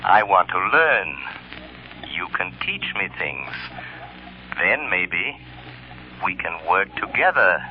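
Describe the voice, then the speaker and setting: An actor playing a mysterious invisible male avenger, speaking in a measured, solemn, hypnotic way in old-time radio drama style. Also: low-pitched, sing-song, faint music behind, full character voice. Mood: calm, commanding. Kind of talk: 1930s radio drama acting. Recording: dull old radio recording.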